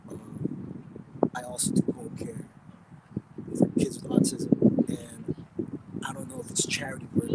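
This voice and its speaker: Man, thirties, guest in an outdoor interview. Easygoing and rambling, with a rough edge and a very lively pitch. Heavy street noise behind. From Philippines, raised in Oxnard, California. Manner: relaxed, rambling, with many fillers and restarts. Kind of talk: casual interview chat. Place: outdoors by a bay, traffic nearby.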